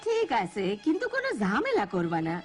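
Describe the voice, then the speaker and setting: Cartoon role: firm village grandma, Bangladeshi accent, fairly high animated voice. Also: female voice, brisk, music in the background. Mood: brisk, firm. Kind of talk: cartoon voice acting.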